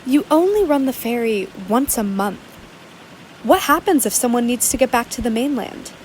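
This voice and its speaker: A woman's voice: a little high, lively intonation, moderate pace, darkish tone, slight background noise, natural voice, rough recording. A woman playing a serious, probing investigative reporter in a documentary-style radio play. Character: earnest, suspicious undercover female investigative reporter.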